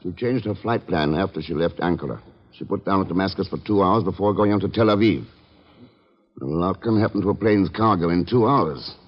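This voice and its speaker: An actor playing a shrewd, terse investigator with a dry, probing manner in an old radio drama. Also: male voice, sits low, fairly quick. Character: cool, terse, shrewd American male investigator. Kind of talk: 1950s radio drama acting. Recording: muffled, noisy old radio recording.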